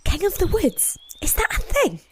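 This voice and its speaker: A woman voicing a savvy, puzzled female rabbit in a storybook, hushed and quizzical. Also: very high-pitched, wildly swooping pitch, normal speed, slight background noise, pitched above the performer's own voice, exaggerated voice.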